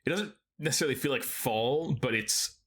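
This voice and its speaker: A man's voice: medium pitch, moderate pace, a bit bright. A young man from Texas co-hosting a podcast, chatting casually with his brother, easygoing and full of fillers and restarts. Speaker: podcast co-host. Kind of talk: casual chat between brothers.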